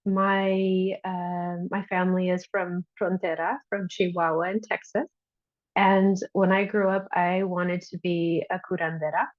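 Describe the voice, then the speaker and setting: A woman, a nurse midwife, telling her own story casually and haltingly on an online video call. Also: on the low side, dry recording, dull audio.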